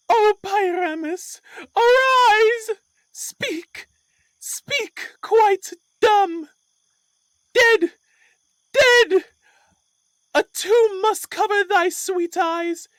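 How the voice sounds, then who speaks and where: Audio-play role: overwrought maiden, extremely shrill and high, dragging out every lament. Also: female voice, dry recording, full character voice. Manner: melodramatic wailing, drawn-out. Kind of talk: Shakespearean audio play acting.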